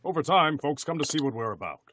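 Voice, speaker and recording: An actor playing a steady, principled male superhero in an animated script reading. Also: a little high, quick pace, slight background noise. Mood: resolute.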